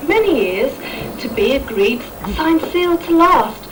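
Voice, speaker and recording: A woman's voice: very high-pitched, lively intonation, a little slow. A woman narrating an amateur stage pantomime in scripted rhyme. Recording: noisy, distant from the mic.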